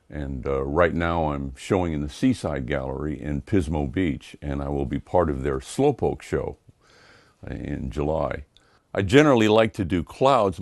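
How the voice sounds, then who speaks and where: Man in his sixties, American accent, low voice, painter, casual talk to camera. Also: darkish tone. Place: indoor home studio.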